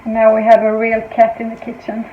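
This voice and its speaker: A woman's voice: a little high, monotone, fairly quick, phone-quality sound, a little hiss or hum. A woman from Greece who grew up in Sweden, chatting casually as she explains each step of her baking at home in her kitchen. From Greece, raised in Sweden. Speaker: home cooking vlogger. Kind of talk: casual cooking demonstration. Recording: echoey room with faint music.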